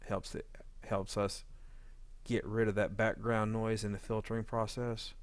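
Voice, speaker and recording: A man giving an informal editing tutorial, talking haltingly as he thinks aloud while working. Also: fairly low pitch, flat delivery, no room sound. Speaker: audio drama editor giving a tutorial. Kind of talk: informal software walkthrough.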